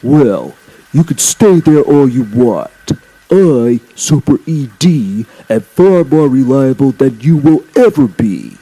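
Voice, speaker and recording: A man's voice: mid-range voice, expressive, takes it slowly, dark tone, slightly rough, soft background music, voice pushed up, full character voice, poor audio. A man voicing a huge, boastful male diesel engine in a children's story, rude, smug and sneering. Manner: pompous, sneering.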